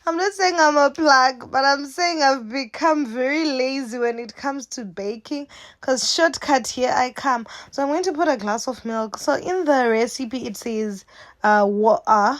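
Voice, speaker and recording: Chatty, unhurried Zimbabwean woman. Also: high-pitched, no room sound. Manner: playful and jokey, laughs often, addresses viewers as "guys". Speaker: cooking vlogger. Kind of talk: casual chatty baking vlog.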